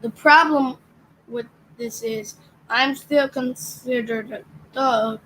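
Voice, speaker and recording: A young reader works through a picture book aloud, sounding each line out carefully and stumbling now and then. The voice sits a little high, and the pace is very slow and deliberate. Faint background noise runs underneath. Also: female voice, steady tone, fairly bright tone, poor audio. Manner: careful reading, occasional stumbles on words.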